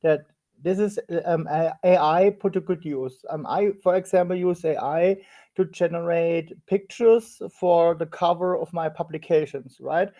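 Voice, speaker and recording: A man's voice: fairly high pitch, dark tone. A man from Germany with a German accent, chatting casually and hesitantly in his second language. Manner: hesitant, pausing often. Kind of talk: casual chat.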